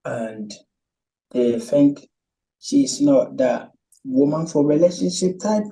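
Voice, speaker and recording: A man from Ghana, a guest in a casual chat, telling stories in a hesitant, relaxed way with many pauses and ums. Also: a little high, distant mic, poor audio.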